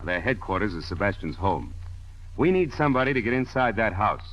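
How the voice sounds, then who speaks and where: A low-voiced, brisk spy chief. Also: male voice, sing-song, fairly bright tone. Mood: businesslike. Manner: matter-of-fact, authoritative. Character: brisk, businesslike male intelligence chief, no-nonsense. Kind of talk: 1940s radio drama acting. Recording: dull old radio broadcast recording.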